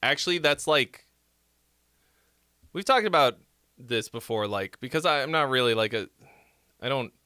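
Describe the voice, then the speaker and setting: A man's voice: medium pitch, lively intonation, normal speed, pauses often, pushed and bright, clean tone, no echo, clean studio sound. A man with a nasal voice hosting a live song critique stream, rambling casually with lots of fillers and restarts. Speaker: music critique livestream host. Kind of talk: casual livestream song feedback.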